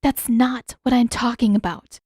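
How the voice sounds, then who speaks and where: Woman playing a determined, insistent teenage girl, higher-pitched. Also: fairly even intonation, a little slow, a little mellow, breathy edge, dry recording, clean studio sound. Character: determined, impulsive teenage girl, quick-thinking.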